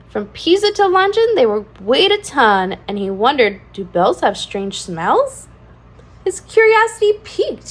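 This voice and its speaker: A woman with a high voice reads a picture book to young children. Her delivery swings widely up and down, with a singsong rhyming cadence and plenty of expressive emphasis on key words. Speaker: children's read-aloud channel host. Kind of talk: animated storybook read-aloud for young children.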